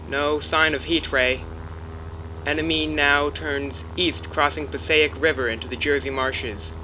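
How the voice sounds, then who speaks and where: A man's voice: on the high side, fairly even intonation, a little slow, natural voice. A man playing a bomber pilot making clipped, formal military reports in a radio drama. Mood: tense, formal. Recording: dull lo-fi radio, faint engine hum.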